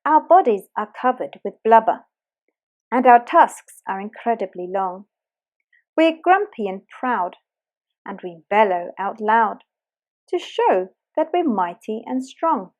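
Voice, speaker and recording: A woman's voice: on the high side, very animated, unhurried, dull audio. A woman doing grumpy, proud walruses.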